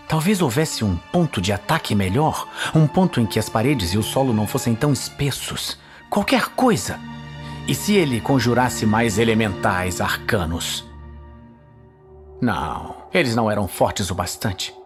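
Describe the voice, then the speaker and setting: Male narrator, Brazilian accent, dramatic, animated intonation, mid pitch, fantasy audiobook, music bed.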